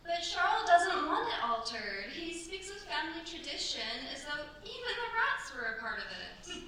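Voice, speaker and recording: A woman acts an earnest, anxious young bride in a staged period radio drama. Her voice is high and very animated, with a hesitant delivery. It echoes heavily around a theatre, away from the mic. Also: moderate pace, exaggerated voice. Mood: upset. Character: anxious young French bride, newly married. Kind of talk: staged radio play acting. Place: theatre stage at a cultural centre. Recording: very echoey stage, distant mic, faint noise.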